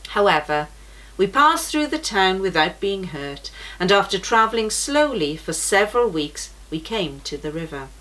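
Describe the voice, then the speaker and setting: A woman reading a children's storybook aloud in a gentle, measured way. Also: medium pitch, sing-song, low-quality recording.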